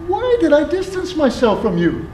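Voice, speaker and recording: A stage performer playing a flirtatious, regretful former lover with a gushing, sometimes halting delivery. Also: sits high, wildly swooping pitch, mellow. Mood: regretful, nostalgic. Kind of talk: live stage play acting. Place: theatre stage, recorded from the audience. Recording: echoey theatre, faint noise.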